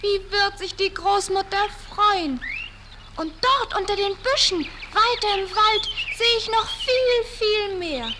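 Very high-pitched innocent little girl. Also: takes it slowly, heavy background noise. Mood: delighted. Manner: bright-eyed, earnest, naive delivery. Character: sweet, innocent, cheerful little fairy-tale girl. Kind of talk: fairy-tale audio play acting.

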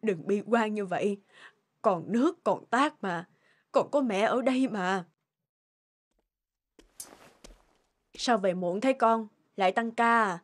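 A woman voicing a humble, self-sacrificing elderly mother in an animated morality tale, gentle and a little hesitant. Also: mid-range voice, a little slow, lightly acted. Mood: consoling. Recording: music behind the voice.

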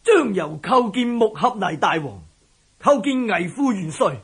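A proud and loyal general in a historical radio drama. His high voice is very rough and husky, and he declaims formally. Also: very animated, a little slow, fairly bright tone, full character voice. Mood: resolute, respectful.

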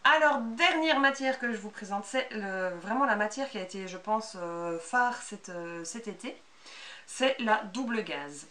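A woman's voice: mid-range voice, animated, unhurried, pushed and bright. A woman in her thirties with a Belgian French accent, giving a casual, unscripted, chatty show-and-tell as a sewing vlogger. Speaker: sewing vlogger presenting handmade clothes. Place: small bright indoor sewing room. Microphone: camera a couple of metres away. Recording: distant camera mic with background noise.

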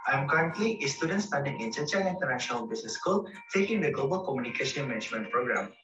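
A man's voice: mid-range voice, expressive, very fast, sharp tone, echoey room, distant mic, faint music behind, rough recording. An eighteen-year-old man from Indonesia giving a scripted video message as a student, recorded indoors. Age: teens.

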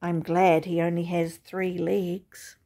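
A woman's voice: lowish voice, flat delivery, takes it slowly, vocal fry, warm tone, full character voice. A woman reading a storybook, voicing a fussy, respectable old widow who frets about her neighbours. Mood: prim.

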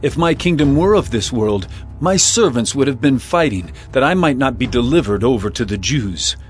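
An actor playing a calm, resolute prisoner in a scripture drama, speaking in a measured, earnest, solemn way. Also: male voice, mid-range voice, soft background music, natural voice. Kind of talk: dramatized scripture audio acting.

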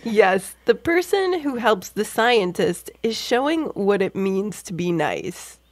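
A woman's voice: medium pitch, very animated, slow pace, dry recording. A woman hosting a children's science podcast, explaining things in a playful, scripted narrating style. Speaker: podcast host.

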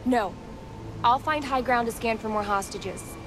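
A woman's voice: a little high, a little slow, over music, lightly acted. A young woman playing a serious, determined masked heroine in a video game, firm and focused. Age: young adult. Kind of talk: video game dramatic acting.

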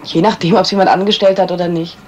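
A woman's voice: on the low side, fairly even intonation, a little mellow, highs cut off, slight background noise, lightly acted. An actress playing a cool, evasive woman witness in a 1970s German radio crime play, answering dryly. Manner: dry, evasive, unhurried answers.

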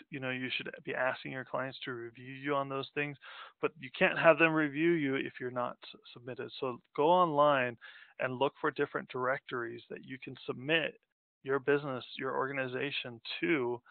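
A man's voice: medium pitch, steady tone, moderate pace, gravelly, no room sound. Man giving a casual webinar over a tinny line. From Denmark. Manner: casual, rambling, with many fillers and restarts. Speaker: company president presenting a webinar. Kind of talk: unscripted webinar presentation over slides. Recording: tinny phone-like audio, dry.

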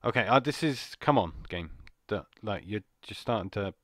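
A man with a slightly croaky voice, rambling and jumpy as he comments on a horror game. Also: lowish voice, animated, moderate pace, a bit bright, no echo, clean studio sound. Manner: rambling, jumpy, muttering to himself. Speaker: gaming channel host. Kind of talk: casual horror gameplay commentary.

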